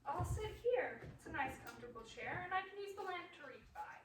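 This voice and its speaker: Polite, anxious young Victorian wife played by a woman: expressive radio play voice, swooping pitch, a little off mic. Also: a little fast. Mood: firm, caring. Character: earnest, insistent young Victorian wife, anxious but composed. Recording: echoey room, distant mic, faint noise.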